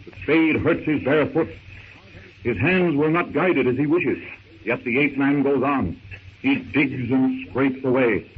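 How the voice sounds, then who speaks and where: A man's voice: medium pitch, a little slow, phone-quality sound, lightly acted. A man narrating a 1930s radio adventure serial in a theatrical, suspenseful, declamatory manner. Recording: thin, noisy 1930s radio recording.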